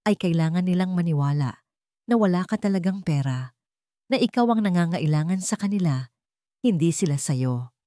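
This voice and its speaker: Woman doing a serious male lawyer pal, firm n professional, low mellow voice, audio drama. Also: animated, normal speed, long pauses, dry recording, studio quality, natural voice. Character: serious, loyal male lawyer friend giving instructions.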